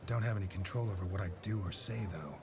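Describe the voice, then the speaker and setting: A weary monster hunter in a game cutscene. He talks low and terse, with a dry edge. Music runs behind him. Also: fast. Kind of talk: video game cutscene acting.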